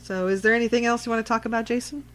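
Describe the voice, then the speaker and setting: A woman's voice: fairly high pitch, fairly even intonation, very fast, a bit bright, slight background noise. Woman podcast host, casual and prompting. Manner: prompting with questions, explaining. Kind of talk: casual unscripted chat about horror films.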